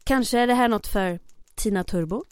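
An actress playing a mayor's aide in the resistance, businesslike but hesitant and scheming. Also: female voice, fairly high pitch, clear, resonant tone, dry recording, clean studio sound, close to an everyday voice. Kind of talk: audio drama acting.

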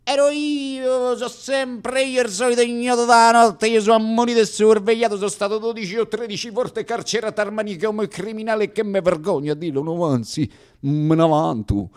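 An actor playing a boastful Roman street bully in a comic theatrical monologue, with a thick Roman accent and a swaggering, declamatory slangy delivery. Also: male voice, sits high, fairly even intonation, moderate pace, clear, resonant tone, natural voice. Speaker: stage actor performing a one-man radio play.

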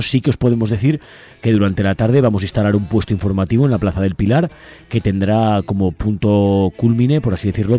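A man's voice: sits low, mellow. A man with an accent from Spain, hosting a community radio show and making informal, conversational announcements. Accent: Spanish from Spain. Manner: conversational, unscripted. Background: music bed.

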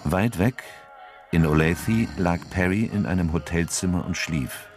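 A man's voice: low voice, unhurried, darkish tone, soft background music. A man narrating a radio drama from a script, in the role of storyteller.

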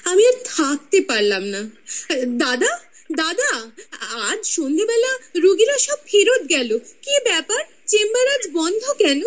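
A woman's voice: extremely high pitch, sing-song, takes it slowly, heavily acted. An actress playing the ghost of a proud young woman, telling her life story in a radio drama with intimate, teasing narration. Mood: lively.

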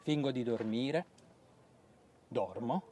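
Casting: stubborn old woman near death, played by a man, measured stage storyteller recounting her life. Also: medium pitch, warm tone, slight background noise, voice pushed down, close to an everyday voice. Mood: resigned, quiet. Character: dying old deaf woman, stubborn, recalling her reader. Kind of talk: theatrical monologue.

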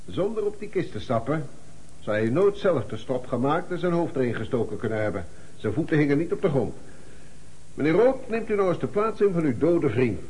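Probing police commissioner, swooping higher-pitched voice. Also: male voice, big pitch swings, fairly quick, a little mellow, rough recording. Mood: sly, controlled. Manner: insistent, theatrical questioning. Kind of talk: Dutch radio drama acting.

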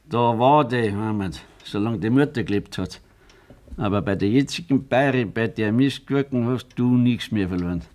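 A man's voice: medium pitch, normal speed, darkish tone, lightly acted. An actor playing a stern, blunt old farmer father with a Bavarian accent in a German radio play. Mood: gruff, scolding. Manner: scolding, blunt, rustic.

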